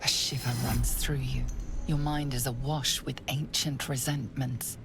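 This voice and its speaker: Game storyteller: female, very deep, creaky, ominous and literary, scripted narration with music beneath. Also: fairly even intonation, a little slow, background noise, exaggerated voice.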